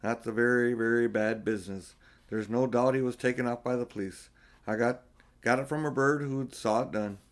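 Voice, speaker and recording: A man's voice: mid-range voice, steady tone, moderate pace, close to an everyday voice. A man voicing a male talking beaver in a storybook, an earnest, solemn woodland creature with a folksy, emphatic manner. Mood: gloomy. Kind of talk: storybook character voice.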